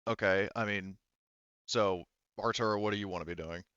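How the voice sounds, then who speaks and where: Male game master, smooth clear tone, informal stop-start narration, close dry online-call mic with slightly dull sound. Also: lowish voice, fairly even intonation, a bit bright, no room sound. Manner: halting, self-correcting, informal. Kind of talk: improvised narration of a tabletop game session.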